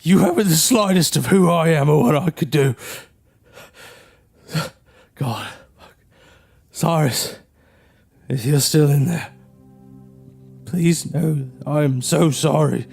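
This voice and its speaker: An actor playing a grief-stricken young man in an audio drama, halting and pleading as he faces killing his own siblings. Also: fairly high pitch, gravelly, a little mellow, soft background music. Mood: sorrowful.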